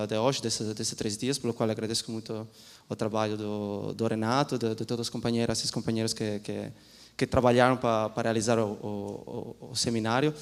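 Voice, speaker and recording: A man, a law professor, giving a seminar talk in a lecture hall, speaking haltingly with many restarts and a mix of languages. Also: mid-range voice. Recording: long hall reverb.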